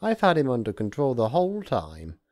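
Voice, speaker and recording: A mischievous male god of chaos from a cartoon story, acted out by a male reader. He gloats and taunts theatrically, pushed higher than the reader's own voice. The tone stays mellow and the recording is very dry. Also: huge pitch swings, normal speed, no room sound. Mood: falsely warm.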